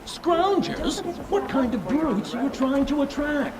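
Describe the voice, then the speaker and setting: A man's voice: very high voice, animated, unhurried. An actor playing a snooty, fussy male merchant with refined pretensions, pompous and disdainful, in a video game.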